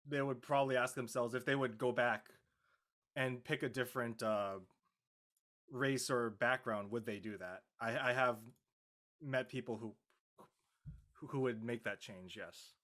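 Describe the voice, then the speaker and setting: A man's voice: mid-range voice, fairly even intonation, measured pace, pauses often, fairly bright tone. A man in casual discussion, starting sentences hesitantly and stammering a little. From Canada. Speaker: panelist in a post-show cast discussion.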